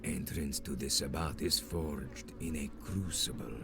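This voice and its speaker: Very deep, menacing vampire zealot. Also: male voice, a little slow, noisy background. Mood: stern. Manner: grandiose, solemn, archaic formal oratory. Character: menacing, zealous male vampire sect leader, ancient and commanding. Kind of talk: dramatic video game villain acting.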